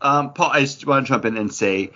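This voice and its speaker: A man's voice: mid-range voice, fairly quick, bright, forceful tone. A man co-hosting a comics podcast in casual chat, wry and talkative, with lots of "like". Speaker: podcast co-host. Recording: dull lo-fi podcast audio.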